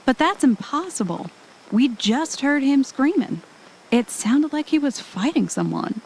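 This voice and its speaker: A woman's voice: high-pitched, animated, a little slow, a little mellow, a little hiss or hum, rough recording. A woman playing an anxious but adventurous podcaster on vacation in an audio drama. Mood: frightened, bewildered.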